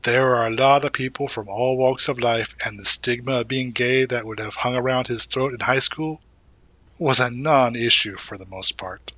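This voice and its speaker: A man acting as a young college guy, protective and gently teasing. His voice is bright and crisp-edged, recorded close and dry. He speaks casually, in a reassuring, intimate roleplay style, with thoughtful pauses and little murmured asides. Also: mid-range voice, no room sound, lo-fi sound, natural voice. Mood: sincere, reflective. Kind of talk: intimate audio roleplay, one-sided conversation.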